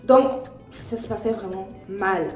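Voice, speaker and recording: A young woman vlogger telling a storytime in an animated, slangy way. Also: mid-range voice, measured pace. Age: young adult. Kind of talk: storytime vlog. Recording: echoey room with faint music.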